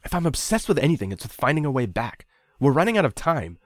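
A man playing a stubborn young man in an audiobook, arguing with desperate insistence and pressing every point. The voice sits clearly higher than the reader's deep narration. It is recorded dry and close. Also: very animated, fairly quick, no echo. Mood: urgent, insistent. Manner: pushing, insistent.

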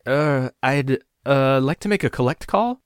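Audiobook role: anxious, lost son acted by a male reader, pitch lifted, pleading and faltering, dry sound. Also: animated, a little slow, clear, resonant tone, no echo, natural voice. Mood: flustered. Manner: hesitant, pleading, emotional. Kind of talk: audiobook dialogue.

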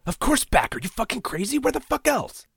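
A man's voice: mid-range voice, big pitch swings, fairly quick, husky, no room sound, studio quality, voice pushed up. A man reading a story aloud, voicing a frightened, angry young man who pleads, accuses and swears often. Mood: panicked, furious.

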